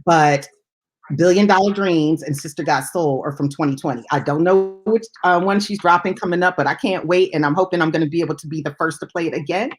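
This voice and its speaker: A woman's voice: on the low side, fairly quick. A woman hosting a music show in casual chat, effusive and emphatic about what she likes. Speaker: radio show host and music DJ.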